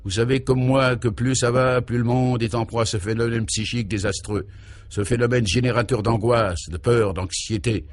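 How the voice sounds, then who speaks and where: A man voicing a troubled governor in a radio serial, grave and anxious, thinking aloud in halting, solemn phrases. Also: mid-range voice, fairly even intonation, natural voice.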